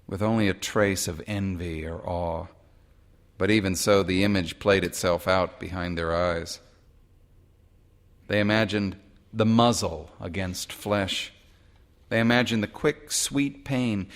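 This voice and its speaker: A man narrating a literary short story in a measured, dramatic way. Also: on the low side. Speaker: audiobook-style short story reader.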